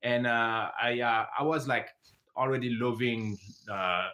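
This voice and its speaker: French-accented man with a mid-pitched voice. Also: measured pace, pushed and bright. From south of France. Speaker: filmmaker, podcast guest. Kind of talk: casual interview answers.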